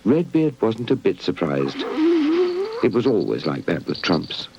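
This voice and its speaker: A man's voice: deep voice, very animated, measured pace, vocal fry, background noise. A British man narrating a scripted children's puppet story, on a muffled old videotape. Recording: muffled old VHS tape. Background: music.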